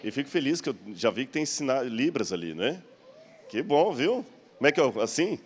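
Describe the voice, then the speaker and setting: A man's voice: mid-range voice, very animated, normal speed, breathy edge. A man with a Brazilian accent preaching in a conversational, explanatory way, posing rhetorical questions with a slight stammer. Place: large church hall. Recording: long hall reverb.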